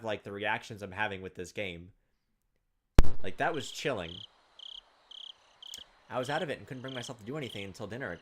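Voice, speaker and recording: A man reads a visual novel aloud in a hushed, suspenseful way, lingering on phrases and slipping into casual remarks. His voice is medium in pitch. There is clear noise behind him, with clicks and chirping insects. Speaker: gaming video host reading a visual novel aloud. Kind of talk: dramatic narration of game text with casual commentary. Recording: clearly noisy home recording, clicks, insect sounds.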